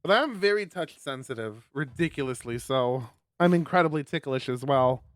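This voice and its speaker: A man livestreaming and reading a visual novel aloud, sweary and animated, with exasperated asides and guitar music behind him. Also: mid-range voice, moderate pace, no room sound. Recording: loud music under the voice. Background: plucked guitar game music.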